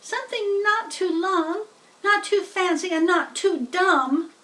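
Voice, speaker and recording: A woman's voice: very high voice, slow pace, bright, forceful tone, recorded from a distance, voice pushed up, full character voice. A woman voicing tiny young female spiders, friendly and dainty, with small, polite sing-song voices in a storybook reading. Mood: bright, pleased.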